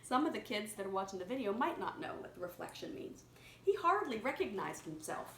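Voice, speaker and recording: A woman reading a picture story aloud to a young child, pausing to explain words simply and prompt repetition. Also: mid-range voice, very animated, fairly bright tone. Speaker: children's story reader and teacher. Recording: echoey room with clear background noise.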